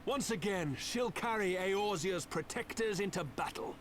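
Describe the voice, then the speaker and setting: An actor voicing a showy, eager airship engineer in a video game, theatrical and enthusiastic. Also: male voice, sits high, lively intonation, a little slow, a bit bright. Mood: proud, eager. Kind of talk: animated game voice acting. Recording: noisy game stream capture.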